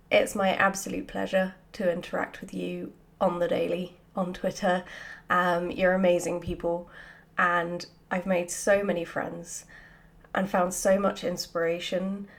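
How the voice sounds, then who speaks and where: A woman chatting casually and answering questions in an animated, giggly, self-deprecating way, as a writer hosting a Q&A. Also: fairly low pitch, vocal fry.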